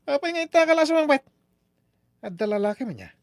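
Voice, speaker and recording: Volatile, high-pitched young bully. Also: male voice, very animated, fast, no room sound, studio quality, heavily acted. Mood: furious, menacing. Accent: Filipino. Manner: loud, volatile, barking. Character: abusive, hot-tempered younger man, demanding bully. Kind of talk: melodramatic radio drama acting.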